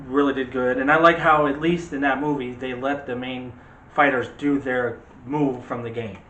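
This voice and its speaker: A man's voice: mid-range voice, fairly bright tone. A man hosting a casual movie discussion, rambling along and correcting himself as he goes. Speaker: host of a film discussion show. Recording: roomy echo with faint background noise.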